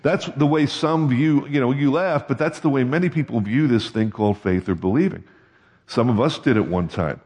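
A man's voice: medium pitch, normal speed, a little mellow, lo-fi sound. A man giving a sermon in a conversational way, often checking in with the congregation with questions. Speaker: pastor. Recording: long hall reverb.

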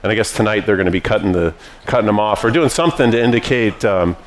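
A man's voice: on the low side, quick pace. A man preaching a sermon as pastor, teaching in a conversational way with frequent restarts.

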